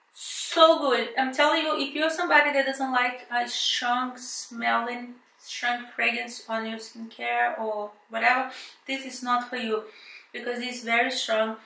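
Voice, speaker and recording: A woman's voice: fairly high pitch, fairly even intonation, slow, gravelly, pushed and bright, roomy sound, recorded from a distance, poor audio. A woman from Brazil with a thick Brazilian Portuguese accent, chatting casually and hesitantly as she unboxes and reviews beauty products, with many fillers and restarts. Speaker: beauty vlogger.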